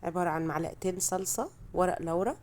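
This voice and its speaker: An Egyptian woman narrates home cooking in a lowish voice at a quick clip. She is chatty and modest about her own skills, and her voice is thickened by a heavy cold. The style is a casual recipe voice-over. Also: a little mellow. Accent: Egyptian Arabic. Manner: chatty, self-deprecating.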